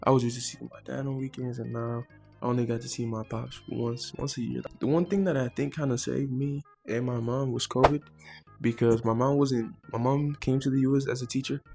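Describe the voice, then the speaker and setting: A man's voice: medium pitch, steady tone, a little fast, gravelly, darkish tone, soft background music. A teenage boy from Mexico talking casually to camera as the host of his own vlog, chatty and laid-back, with frequent fillers and repeated words. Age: teens. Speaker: vlogger host.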